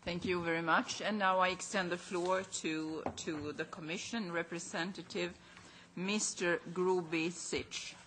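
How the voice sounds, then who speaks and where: A woman's voice: lowish voice, fairly even intonation, measured pace, noisy background. A woman chairing a parliamentary committee hearing, passing the floor with brief formal courtesies into a desk microphone. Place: large parliamentary committee room.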